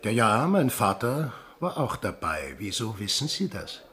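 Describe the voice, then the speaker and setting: An actor playing a blunt, combative, sardonic sailor in a radio drama. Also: male voice, lowish voice, sing-song, measured pace, fairly bright tone. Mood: angry, accusing. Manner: sardonic, gruff, confrontational. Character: blunt, combative sailor accusing villagers of wartime murder.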